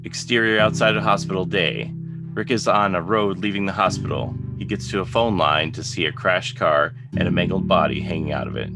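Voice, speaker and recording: A man's voice: low-pitched, fairly quick. A man narrating a script, reading out scene headings and stage directions as a narrator. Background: guitar music.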